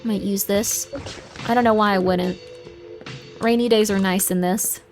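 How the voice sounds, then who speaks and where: A woman's voice: medium pitch, fairly even intonation, unhurried, darkish tone. A woman chatting casually and playfully on a livestream, reacting aloud as she plays a game. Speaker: streamer. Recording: prominent game music behind. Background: video game music.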